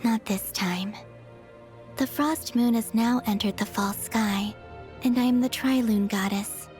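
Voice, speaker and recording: A woman voicing a gentle, wistful young moon goddess in a game cutscene, speaking softly and sincerely in a measured, breathy way. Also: fairly high pitch, faint music behind, close to an everyday voice. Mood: serene, resolute.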